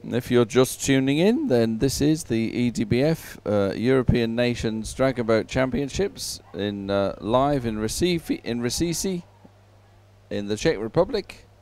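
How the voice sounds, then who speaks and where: A man giving unhurried live sports commentary, filling time between races in halting phrases with frequent pauses. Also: mid-range voice, animated, a little mellow.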